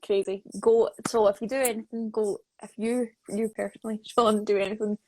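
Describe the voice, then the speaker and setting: A woman's voice: medium pitch, level tone, brisk, mellow, a little hiss or hum, rough recording. A woman in her twenties hosting a casual live online chat, emphatic and often repeating her phrases. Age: 20s. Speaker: interview host, associate producer.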